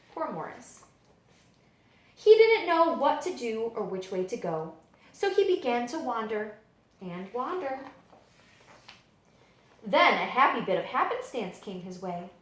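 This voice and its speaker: A woman reading a picture book aloud to children as a librarian, in expressive, deliberate storytelling narration with drawn-out emphasis. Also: fairly high pitch, roomy sound, poor audio.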